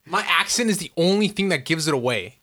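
A man's voice: on the high side, expressive, fairly quick, sharp tone. A young man from the United States co-hosting a casual podcast, telling stories animatedly and acting out quotes with frequent swearing. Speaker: podcast co-host. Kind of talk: casual chat.

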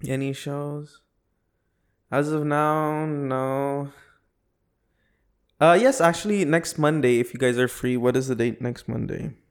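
Joking man podcaster, halting delivery. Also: mid-range voice, smooth. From half Filipino. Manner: joking, halting when searching for words. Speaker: podcast co-host, comedian. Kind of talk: casual joking podcast chat.

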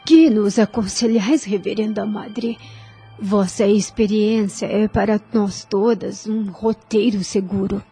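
An actress with a Brazilian accent playing a humble, devout nun in a radio drama, formal, deferential and pleading. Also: female voice, medium pitch, steady tone, slow, dark tone, slightly rough, faint music behind, heavily acted. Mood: respectful.

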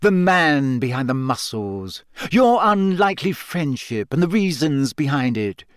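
A man's voice: a little high, sing-song, unhurried, smooth, dry recording, studio quality. A man voicing a gossipy, smug, predatory woman reporter in a storybook, with a drawling, insinuating manner. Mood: coaxing.

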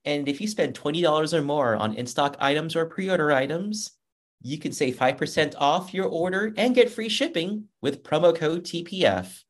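A man hosting a motorsports podcast in a casual, upbeat, chatty way, with a loud voice. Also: on the high side, expressive, moderate pace. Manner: upbeat, chatty, reads sponsor plugs. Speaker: podcast host and motorsports journalist.

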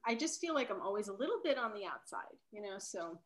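A woman's voice: mid-range voice, sing-song, quick pace. A woman novelist whose first language is Italian, talking casually in an interview, often trailing off and rephrasing.

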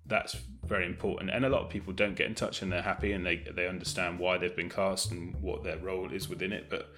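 A man's voice: low-pitched, fairly even intonation, fast talker, pushed and bright. A British man talking as an interview guest in a casual, hesitant, thoughtful and self-effacing way. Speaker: audio drama director, interview guest.

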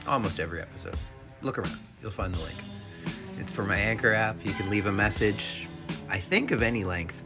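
A man hosting a podcast alone in a casual, rambling, off-the-cuff way. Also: sits low. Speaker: podcast host. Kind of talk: casual solo podcast monologue. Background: instrumental hip-hop backing music.

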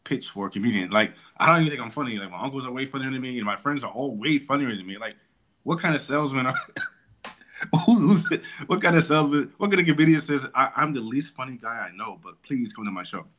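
A man hosting a casual podcast interview, chatty and quick to tease his guest. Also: medium pitch, lively intonation, fairly bright tone. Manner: teasing, chatty, quick to joke. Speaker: podcast host.

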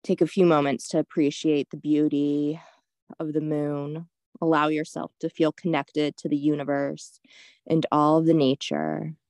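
A woman leading a guided meditation in a soothing, unhurried, gently instructive way. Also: deep voice, little pitch movement, dry recording.

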